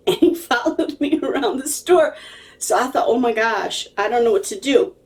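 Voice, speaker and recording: A woman's voice: a little high, expressive, a little slow, a little mellow, far from the mic. A woman telling a story casually to viewers in a chatty, emphatic, digressive way, often checking in with her listeners. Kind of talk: casual, animated storytelling to camera.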